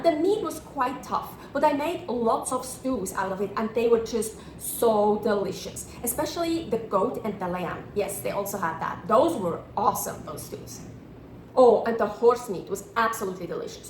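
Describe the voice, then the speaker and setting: A woman's voice: sits high, a bit bright, a little hiss or hum. A woman in her thirties from Switzerland, talking about food in a chatty, enthusiastic vlog style. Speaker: vlogger. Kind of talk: casual vlog narration. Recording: roomy echo.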